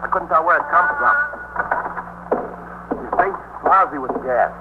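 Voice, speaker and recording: An actor playing an excitable, helpful working-class male building superintendent in a 1950s radio police drama, talking colloquially and urgently. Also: on the high side, unhurried, close to an everyday voice. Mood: agitated. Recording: very noisy narrowband 1950s radio recording.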